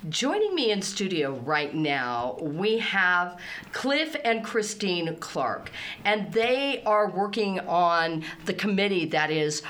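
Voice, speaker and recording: A woman's voice: on the low side, sing-song, takes it slowly, fairly bright tone. Upbeat woman hosting a radio talk show. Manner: upbeat, polished announcer delivery. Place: radio studio.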